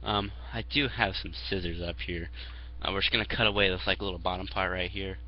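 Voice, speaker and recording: A man's voice: deep voice, steady tone, brisk. A young man vlogging casually from a small room into a headset mic, rambling and hesitant with lots of fillers. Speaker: video creator. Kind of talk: casual rambling vlog to camera. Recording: dull lo-fi headset audio.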